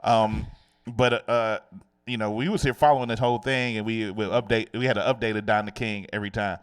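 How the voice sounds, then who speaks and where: A male host rambles loosely through his questions with lots of fillers. He talks fast in a low voice. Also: fairly even intonation, clean tone. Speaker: show host. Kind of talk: casual interview hosting.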